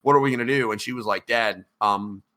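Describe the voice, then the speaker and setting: A man's voice: lowish voice, brisk, bright, forceful tone. Rambling middle-aged man hosting a podcast. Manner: rambling, with many ums and restarts. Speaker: podcast host. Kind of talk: casual podcast chat.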